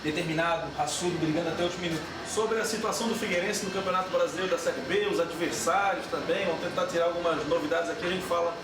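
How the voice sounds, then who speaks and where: A man hosting a radio sports show, brisk and opinionated, firing off questions at a quick clip. His voice is somewhat high and sounds echoey, picked up from a distance. A loud hum fills the background. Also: steady tone. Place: radio studio.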